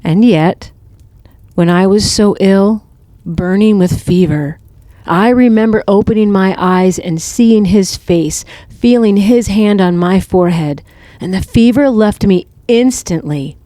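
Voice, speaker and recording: A woman playing a wise, tender older mother in an audio drama, speaking in a gentle, reassuring and earnest way. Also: medium pitch, measured pace, no room sound, lightly acted. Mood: earnest, consoling.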